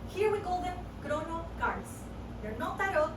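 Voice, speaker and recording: A woman's voice: very high-pitched, normal speed. Playful Argentinian woman in an echoey room. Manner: playful, theatrical, wry deadpan asides. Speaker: performer of a one-woman show. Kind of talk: live comic theatrical reading. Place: room at home with a live audience. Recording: echoey room, distant mic, noisy.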